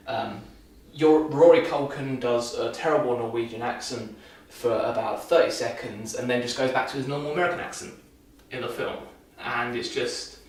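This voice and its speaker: A young man vlogging casually while shaving, talking loosely with lots of restarts and dry sarcasm. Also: medium pitch. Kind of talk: casual rambling film review. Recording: echoey room, mic far off.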